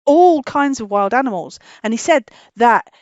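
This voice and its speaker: Female children's storyteller, higher-pitched, informal and animated, explaining simply; close, dry, slightly muffled recording. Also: moderate pace, no room sound. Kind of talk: informal storytelling to a puppet dog.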